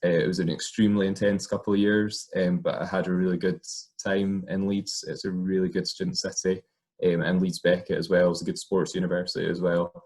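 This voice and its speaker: A man's voice: sits low, fairly quick. A young Scottish man, an occupational therapist, talking casually about his career with plenty of ums and uhs. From Scotland. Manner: relaxed, many ums and uhs. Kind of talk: informal talk to camera.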